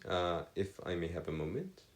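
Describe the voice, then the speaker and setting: A man's voice: low voice, big pitch swings, moderate pace. A man giving an informal step-by-step lesson as a tutor, with formal, wordy phrasing and hesitant self-corrections. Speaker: math tutor. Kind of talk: informal lecture, explaining step by step.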